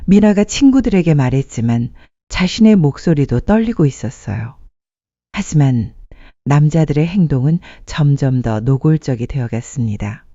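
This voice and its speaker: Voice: medium pitch, lively intonation, moderate pace, dark tone, dry recording. A narrator reading a scripted dramatic story as a storyteller. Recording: muffled dry studio sound.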